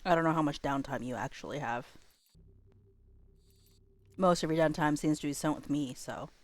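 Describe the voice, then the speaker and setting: Woman streamer w a low voice, dry close sound, chatting casually n kinda halting. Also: dry recording. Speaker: livestreamer. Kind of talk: casual chat while gaming on a livestream.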